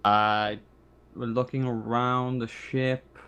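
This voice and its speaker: A man's voice: mid-range voice, little pitch movement, very slow, pushed and bright, clean tone. Conversational man running a tabletop game. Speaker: game master. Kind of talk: improvised narration of a tabletop roleplaying game.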